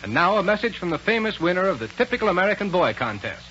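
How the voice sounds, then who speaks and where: A man's voice: sits high, very animated, quick pace, sharp tone. Dramatic 1930s radio announcer, swooping male voice. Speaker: announcer and narrator. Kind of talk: 1930s radio announcing, scripted ad and story narration. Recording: muffled, noisy 1930s radio recording.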